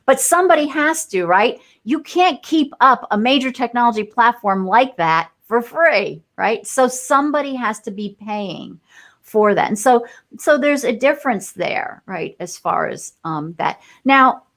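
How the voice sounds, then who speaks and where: A woman's voice: a little high, animated, measured pace. A woman guest in a live discussion, explaining her views in a thoughtful, rambling way with many fillers and restarts. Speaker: guest entrepreneur.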